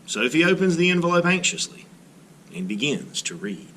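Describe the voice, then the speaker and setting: A man reading a children's story aloud as a teacher, in an expressive narrating style. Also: a little high, normal speed, slight background noise, rough recording.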